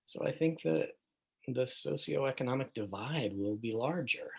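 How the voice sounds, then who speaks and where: A man playing a husky, concerned man. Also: medium pitch, animated, measured pace, a bit bright, exaggerated voice. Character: concerned, measured man discussing inequality. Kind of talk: documentary theater, acted as group discussion.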